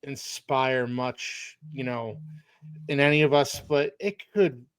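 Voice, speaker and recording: A man's voice: medium pitch, steady tone, slow pace, smooth, dry recording. A man from Philadelphia hosting a casual podcast chat, enthusiastic and fond of grand, poetic phrasing.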